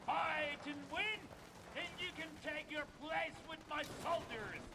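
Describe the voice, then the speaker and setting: Macho arena villain, very high strained pitch, boastful, brutal, theatrical taunts, video game, noisy battle backdrop. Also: male voice, measured pace. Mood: grandiose. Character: boastful, brutal male mercenary warlord running an arena.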